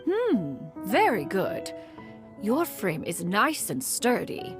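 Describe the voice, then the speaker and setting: A woman's voice: mid-range voice, wildly swooping pitch, slow. An actress voicing an ancient, dignified female crane spirit who speaks formally and calls herself "one". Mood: lofty, wise. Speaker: mentor. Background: game soundtrack music.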